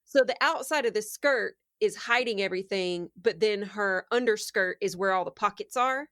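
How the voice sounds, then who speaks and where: A woman's voice: mid-range voice, moderate pace, fairly bright tone, no room sound, clean studio sound. A woman chatting casually as a player in a tabletop role-playing game. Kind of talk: casual chat.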